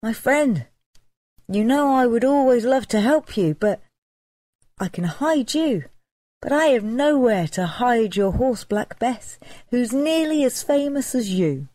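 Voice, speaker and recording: A woman's voice: fairly high pitch, lively intonation, takes it slowly, mellow, no room sound, pitched above the performer's own voice. A woman storyteller voicing a friendly but firm and wary male pub landlord in a folk tale, emphatic and cautioning. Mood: firm, worried. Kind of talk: storybook character dialogue.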